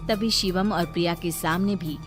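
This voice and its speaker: A woman's voice: lowish voice, steady tone, normal speed, faint music behind. A woman narrating a scripted horror cartoon story.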